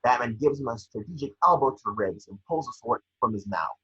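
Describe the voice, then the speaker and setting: A man reading scene descriptions at an amateur table read, with a husky voice. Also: mid-range voice, animated, fairly quick, bright, forceful tone, dull audio.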